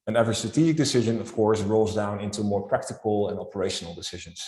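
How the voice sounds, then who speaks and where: A man with a Dutch accent, a guest accounting expert, explaining his views in a calm, structured way. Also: lowish voice, quick pace, reverberant. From Netherlands. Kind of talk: interview answers.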